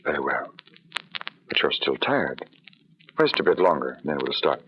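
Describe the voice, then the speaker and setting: An actor playing an ardent, brooding settler in an old radio drama, speaking in an earnest, formal, dramatic way. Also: male voice, a bass voice, big pitch swings, a little slow, exaggerated voice. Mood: ardent, intense. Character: ardent, brooding male settler in a colonial outpost. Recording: muffled, noisy old radio recording.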